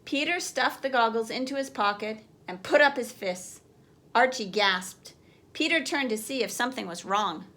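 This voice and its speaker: A woman's voice: fairly high pitch, expressive, normal speed, bright, forceful tone. A woman teacher reading a children's picture book aloud, expressively, as if putting questions to young listeners.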